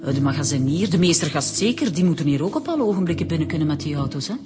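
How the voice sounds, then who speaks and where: A woman's voice: sits low, sing-song, racing. A woman playing a chatty, flustered, loyal receptionist in a radio drama, with a Flemish accent. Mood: flustered, defensive. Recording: music behind the voice.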